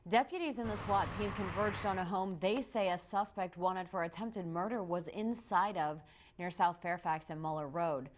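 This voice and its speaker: A woman's voice: fairly low pitch, moderate pace, dull audio, a little hiss or hum. A woman with an American accent reading scripted television news as an anchor.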